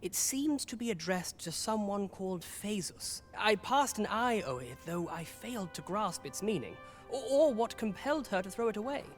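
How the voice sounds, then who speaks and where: An actor playing a courteous, earnest young prince in a fantasy video game, with tentative manner and old-fashioned formal phrasing. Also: male voice, high voice, lively intonation, moderate pace, few pauses, faint music behind. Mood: earnest, modest.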